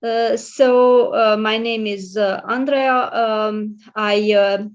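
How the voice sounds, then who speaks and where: A middle-aged woman talks slowly and haltingly in a slightly high voice, pausing on fillers and restarting her sentences. The audio is muffled and lo-fi, like a video call. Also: steady tone. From Romania. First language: Hungarian. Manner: hesitant, many fillers and restarts. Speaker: guest, school librarian and private English tutor. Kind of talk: casual interview chat.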